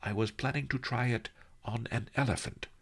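A man voicing a calm, wry old sage who speaks softly and slowly. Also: mid-range voice, husky, voice pushed up. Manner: soft, slow, measured.

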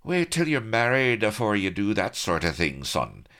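A man's voice: lowish voice, huge pitch swings, normal speed, a bit bright, voice pushed up, heavily acted. A man voicing a cheeky swashbuckling colonel who talks with breezy mock innocence.

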